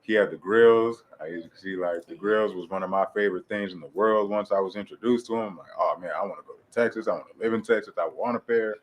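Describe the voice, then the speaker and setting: A man in his late twenties with a naturally low voice, talking casually and a bit hesitantly as an interview guest. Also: fast, few pauses, highs cut off. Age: late 20s. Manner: laid-back, hesitant, many fillers and restarts. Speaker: guest rapper.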